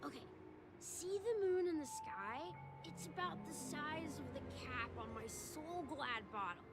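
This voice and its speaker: A woman's voice: sits high, animated. A woman voicing a drunk, dreamy, childlike small man in a video game, with slurred and rambling speech. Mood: tipsy, wistful. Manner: slurred, tipsy, drawn-out rambling. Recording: music playing over the voice.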